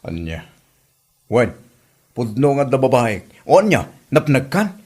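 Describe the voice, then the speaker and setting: A man's voice: medium pitch, very animated, measured pace, darkish tone, breathy edge. A man playing a defiant, fed-up husband in an Ilocano comedy drama, with an Ilocano accent.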